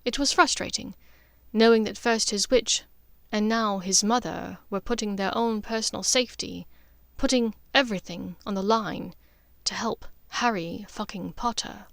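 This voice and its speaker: A woman's voice: medium pitch, moderate pace, vocal fry, fairly bright tone, dry recording. A woman reading scripted fiction as an audiobook narrator.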